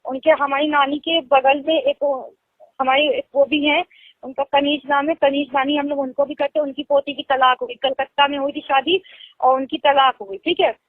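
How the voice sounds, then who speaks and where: Young woman, Lucknow Hindi accent, high voice, chatty explaining, tinny phone line. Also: level tone, normal speed, dry recording. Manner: explains at length, laughs easily. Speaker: phone caller. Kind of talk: casual phone conversation. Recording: tinny phone call recording.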